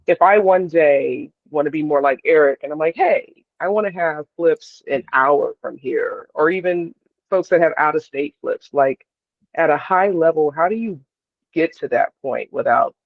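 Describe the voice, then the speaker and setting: A man's voice: fairly high pitch, normal speed, vocal fry, no room sound. A man who is new to investing asks a question at a meetup, tentative and hedging with "um" and "like". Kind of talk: asking questions in a Q&A. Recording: tinny phone-like line, dry.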